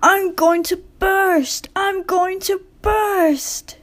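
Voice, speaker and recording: A woman voicing a wistful, grumbling young male tank engine in a storybook, with drawn-out plaintive delivery. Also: extremely high pitch, fairly even intonation, pitched above the performer's own voice, exaggerated voice. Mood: pained groaning.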